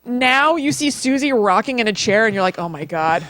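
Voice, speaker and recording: A woman's voice: a little high, lively intonation, moderate pace, fairly bright tone, no echo. A woman co-hosting a podcast, telling a story in a casual, informal and conversational way. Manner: informal, chatty, peppered with "like". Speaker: podcast co-host retelling a paranormal TV episode.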